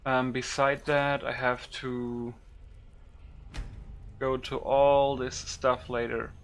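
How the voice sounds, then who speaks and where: A man's voice: medium pitch, little pitch movement, takes it slowly, slight background noise. A man doing loose, informal game commentary as a YouTuber, thinking aloud without a script. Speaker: gaming YouTuber showing a modded game. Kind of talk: casual unscripted game commentary.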